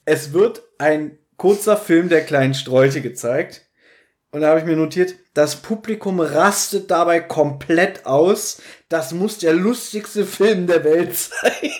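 Male podcast co-host, slightly high voice, casual and animated retelling. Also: takes it slowly. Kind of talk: casual chat reviewing an audio drama.

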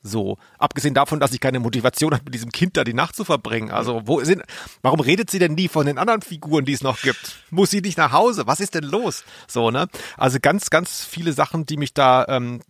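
A man's voice: mid-range voice, animated, fairly quick, fairly bright tone, breathy edge, dry recording. Podcast guy, close dry mic, casual and emphatic, kinda bemused. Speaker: podcast co-host. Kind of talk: casual review chat.

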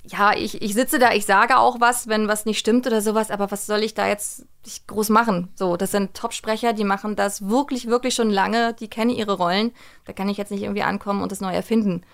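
Woman, casual interview guest, colloquial, detailed explanations, creaky edge, medium pitch. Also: fairly even intonation, brisk. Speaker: radio play director and voice actress, interview guest. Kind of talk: casual studio interview chat.

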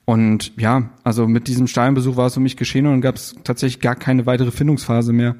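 A man's voice: mid-range voice, level tone, fast, warm tone. A young man from Berlin, a guest on a live stage panel, telling his story earnestly with a few fillers. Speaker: guest blogger. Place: theater stage.

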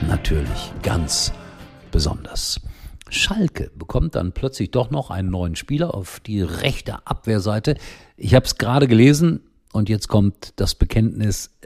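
Deep gravelly older man with a cold. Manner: rambling, chatty, with frequent fillers. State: slightly hoarse with a cold. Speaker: football commentator and show host. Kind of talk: casual daily football talk to camera.

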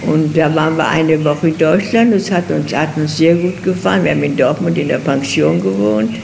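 An older woman from Germany recalling her life in an interview, halting and often repeating herself. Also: sits low, creaky. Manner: halting, repeats words and restarts. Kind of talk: recorded interview, personal recollection. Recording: noisy archival interview recording.

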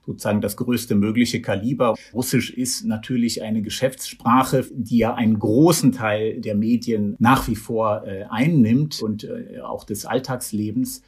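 Husky-voiced man, measured and hesitant. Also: lowish voice, steady tone, darkish tone. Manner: measured, explanatory, frequent hesitation sounds. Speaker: foreign correspondent. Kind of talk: radio interview answers.

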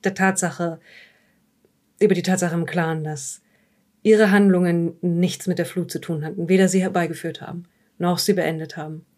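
A woman playing a composed, formal police psychological evaluator in an audio drama, speaking in measured, careful phrases and politely dismissive. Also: fairly low pitch, steady tone, darkish tone, close to an everyday voice. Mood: clinical, measured. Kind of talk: improvised audio drama dialogue.

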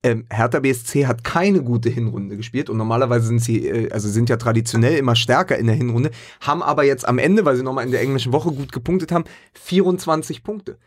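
A man's voice: mid-range voice, quick pace, few pauses. Rambling man from Berlin, talking football. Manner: rambling, restarts and many fillers. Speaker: podcast co-host. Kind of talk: casual football talk.

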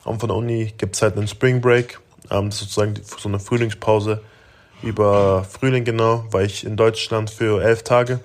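A young man from Germany in his late teens, answering interview questions in a relaxed, rambling way with many fillers and restarts. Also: on the low side, moderate pace. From Germany (Augsburg). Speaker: interview guest, basketball player.

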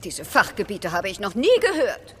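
A woman's voice: mid-range voice, huge pitch swings, moderate pace, a bit bright, slight background noise. A woman playing a haughty, commanding Egyptian pharaoh in a children's audio drama. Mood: haughty, suspicious. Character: imperious Egyptian female pharaoh doting on her pet.

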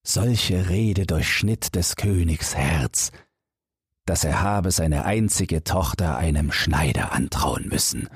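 A very husky man with a low voice reads a bedtime fairy tale. He takes his time, phrasing each sentence deliberately as an audiobook narrator. The recording is close and dry. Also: dry recording. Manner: measured, storybook reading with deliberate phrasing.

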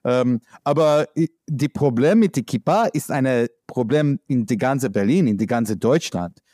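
A man from the United States with an American accent, speaking in a halting, searching way with many fillers, answering as an interviewee. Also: medium pitch, lively intonation, mellow, no room sound, studio quality. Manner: halting non-native speech with many fillers. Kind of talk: conversational interview.

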